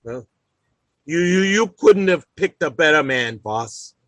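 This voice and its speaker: A blustering working-class family man in old-time radio comedy style. His voice is bright and swings widely in pitch, captured dry and close. He stammers through folksy, ungrammatical sentences and is quick to take offense. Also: expressive, no room sound, rough recording. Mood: sheepish.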